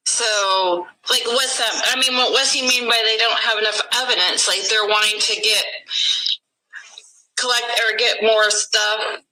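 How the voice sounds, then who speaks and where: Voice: mid-range voice, steady tone, measured pace, bright, forceful tone, poor audio. A speaker on a call asking hesitant, searching questions. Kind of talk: casual call-in conversation.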